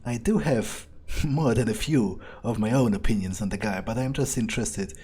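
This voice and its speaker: A man's voice: lowish voice, normal speed, mellow, husky. A man role-playing a cynical, arrogant vampire in a game playthrough, musing aloud in a casual, hesitant way. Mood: dismissive, musing. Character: cynical, arrogant male vampire thinking aloud, coolly skeptical. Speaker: let's player role-playing in character. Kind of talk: in-character game playthrough commentary.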